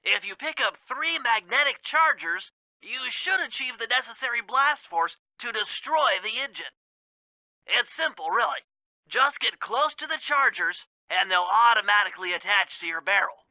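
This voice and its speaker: High, piercingly bright nerdy gadget expert. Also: male voice, animated, normal speed, no room sound, heavily acted, poor audio. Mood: matter-of-fact. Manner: precise, technical, explanatory. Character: brainy, nerdy male cartoon animal gadget expert. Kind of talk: video game mission briefing.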